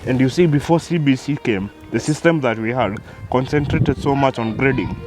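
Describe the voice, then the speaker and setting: A man's voice: medium pitch, normal speed, music in the background. A man in his thirties with a Kenyan accent, a guest telling his life story, who hesitates and repeats words as he starts. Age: 30s. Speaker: interview guest.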